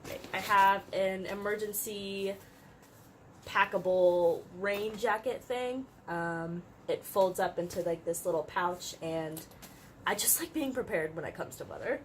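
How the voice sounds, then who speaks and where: A woman's voice: medium pitch, a little slow, slight background noise. Chatty, congested young woman vlogger. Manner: chatty, uses "like" often, light laughs. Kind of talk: casual chat. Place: her room.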